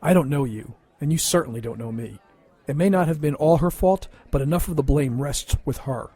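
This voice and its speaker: A man's voice: fairly high pitch, brisk, dark tone, husky, dry recording. A man playing a big, traumatized and resentful younger brother in an audio drama, bitter and wounded in manner. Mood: cold resentment.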